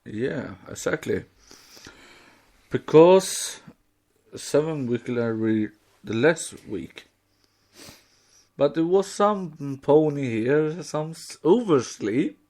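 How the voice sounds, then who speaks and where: A man, a native Swedish speaker, co-hosting a podcast in casual, halting non-native English. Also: fairly high pitch, lively intonation, a little mellow. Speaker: podcast co-host. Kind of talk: casual chat.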